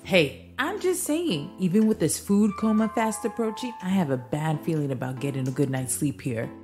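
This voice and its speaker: Grumbly nervous crew member, lowish voice that swoops around, music behind, audio drama banter. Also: female voice, sing-song, normal speed, darkish tone. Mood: uneasy, protesting. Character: jittery, grumbling podcast crew member nicknamed Chuck.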